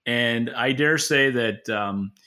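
A man with a very bright, forceful voice at a middle pitch talks as a podcast co-host. He gives opinionated commentary in a conversational way, with a dry, wry humor. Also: takes it slowly, slight background noise. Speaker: podcast co-host and market analyst.

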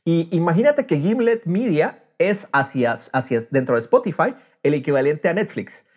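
Un hombre que conduce un podcast de charla informal, contando las cosas con animación y entusiasmo. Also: male voice, fairly high pitch, expressive, moderate pace, a little mellow. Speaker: podcast host. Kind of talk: casual podcast interview.

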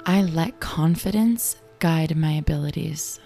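A young woman with a raspy voice, hosting a show and reading affirmations slowly and soothingly. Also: low voice, dark tone. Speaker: affirmation and meditation host. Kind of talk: guided affirmations. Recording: clear music bed behind voice.

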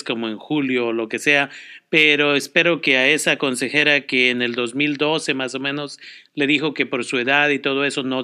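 Smooth-voiced male radio host. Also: medium pitch, pushed and bright. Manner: fluent, explanatory. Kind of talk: radio interview.

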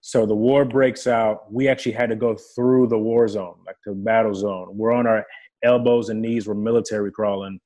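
Low-voiced man telling his story. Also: steady tone, moderate pace, warm tone, rough recording. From Democratic Republic of Congo. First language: French. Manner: earnest, vivid storyteller. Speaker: guest, player engagement staff for a football team. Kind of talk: casual interview storytelling.

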